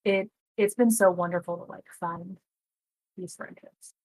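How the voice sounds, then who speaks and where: A woman in her early thirties talks on a podcast in a fairly low voice, recorded close and dry. She speaks earnestly and reflectively, often letting her sentences trail off softly. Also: fairly even intonation, unhurried, no echo, low-quality recording. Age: 30s. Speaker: podcast co-host. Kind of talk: casual chat.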